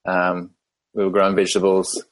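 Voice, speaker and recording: Thoughtful New Zealand man, lowish voice. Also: completely flat delivery. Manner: thoughtful, measured, with frequent ums. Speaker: podcast guest. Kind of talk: casual interview conversation.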